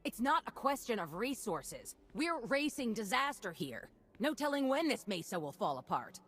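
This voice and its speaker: Frazzled folksy lady mayor for a game, sarcastic n blustery, highish bright voice. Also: very animated, moderate pace, slightly rough, faint music behind, exaggerated voice. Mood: exasperated. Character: frazzled, sarcastic female mayor of a besieged town. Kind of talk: video game character acting.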